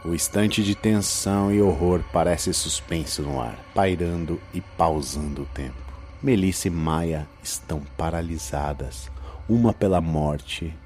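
A man with a Brazilian accent and a husky voice, narrating a scripted horror audio drama. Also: low-pitched, unhurried, a little mellow, soft background music.

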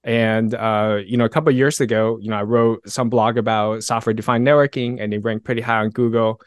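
A man's voice: lowish voice, steady tone, a little fast, no room sound. A man from Taiwan and Southern California talking casually as a podcast guest, modest and self-effacing. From Taiwan, then Southern California. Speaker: technical author, podcast guest. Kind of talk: casual chat.

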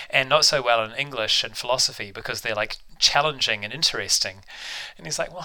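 A man's voice: medium pitch, bright, forceful tone, clear, resonant tone, poor audio. A middle-aged man with a New Zealand accent, chatting as an interview guest, self-deprecating with frequent ums and restarts. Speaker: biology professor, guest. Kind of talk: casual interview, storytelling.

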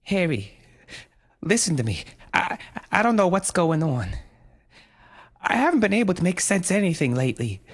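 A man voicing a frantic young mother who stammers and pleads in a high, strained voice. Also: very animated, normal speed, long pauses, slightly rough, heavily acted.

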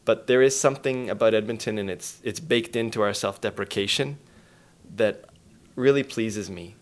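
A man with a fairly low voice speaks as an interview guest. His style is casual and reflective. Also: moderate pace.

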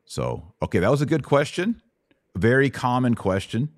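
A man's voice: medium pitch, big pitch swings, normal speed, no room sound, clean studio sound. A middle-aged American man hosting a conversational religious question session, explaining step by step and checking understanding with short questions. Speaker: host answering Bible questions.

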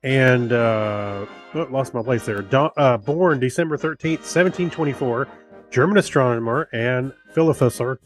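A man co-hosting a podcast in casual chat, reading things out in a halting, easygoing way. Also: medium pitch, soft background music. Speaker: podcast co-host.

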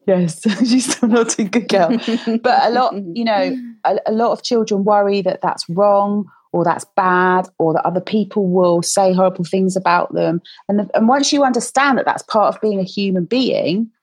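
Chatty woman, husky and dry. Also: mid-range voice, no echo. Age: 40s. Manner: chatty, encouraging, talks gently to a child. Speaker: podcast host. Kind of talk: warm casual interview chat.

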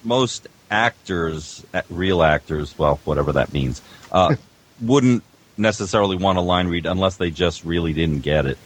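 Deep-voiced man swapping studio stories. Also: moderate pace, a little hiss or hum. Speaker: veteran audio producer, panel guest. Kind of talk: casual anecdotal chat.

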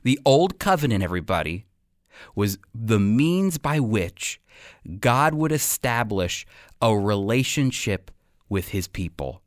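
A man preaching a prepared Bible teaching, speaking in deliberate, emphatic phrases with pauses. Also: on the low side, very animated, no echo, studio quality. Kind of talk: prepared sermon teaching to a congregation.

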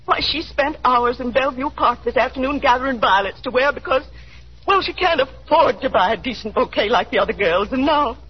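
A woman's voice: sits high, lively intonation, brisk, slightly rough. An actress playing a fretful, doting middle-aged mother who coaxes, fusses and pleads in the manner of 1930s radio drama. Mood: pleading. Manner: coaxing, pleading, fussing. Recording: muffled, slightly noisy 1930s radio broadcast.

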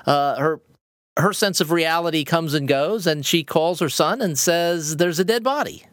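A woman hosting a podcast interview, friendly and quick to laugh. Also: low voice, no room sound, studio quality. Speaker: podcast host.

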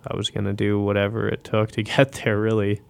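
A man who is a podcast guest, telling his own story reflectively and haltingly, often restarting his sentences. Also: on the low side, monotone, gravelly.

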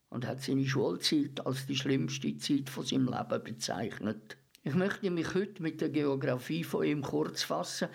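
A man's voice: a little high, vocal fry. A man with a Swiss German accent hosting a radio programme, giving a scripted introduction. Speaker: radio host.

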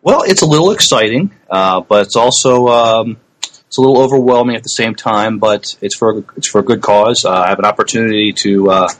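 A middle-aged man co-hosting a podcast, chatting casually with a hesitant, halting delivery. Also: on the low side, rough recording. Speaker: podcast co-host.

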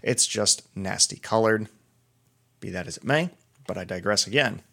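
A man's voice: on the low side, moderate pace, gravelly, a bit bright. Chatty, digressive man podcasting solo. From Pennsylvania. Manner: chatty, digressive, self-deprecating. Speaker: podcast host and doctor.

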